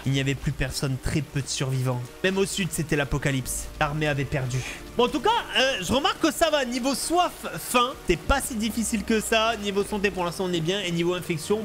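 Expressive high-voiced man commenting on a game. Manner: expressive, excitable. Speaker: gaming video host and role-player. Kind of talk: animated gameplay commentary.

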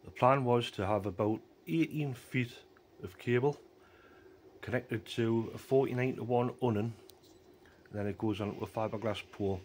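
A man's voice: mid-range voice, moderate pace, a little mellow. Casual man, noisy recording. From North East England. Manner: informal, off-the-cuff commentary. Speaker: amateur radio hobbyist demonstrating equipment. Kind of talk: casual hands-on video demonstration. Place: outdoors in a yard, then a small living room. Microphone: handheld phone camera behind the view.

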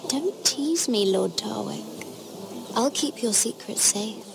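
An actress playing a composed young noblewoman in a fantasy game, measured, polite and probing. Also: on the high side, lively intonation, vocal fry, crowd noise, full character voice. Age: young adult. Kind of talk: dramatic fantasy game acting.

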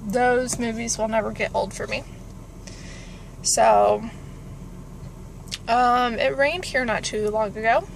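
A woman of about twenty-three speaks in a somewhat high voice. She rambles informally, as in a casual vlog. The sound is that of a phone inside a car, with noticeable background noise. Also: takes it slowly, gravelly, pauses often, low-quality recording. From California, United States. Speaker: vlogger. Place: inside a parked car with engine warming up. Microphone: phone at arm's length.

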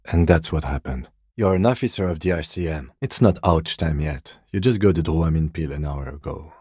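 An actor playing a composed, weary police detective in a video game, with dry, deadpan, measured delivery. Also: male voice, rumbling low, slightly rough, dry recording. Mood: grave, composed.

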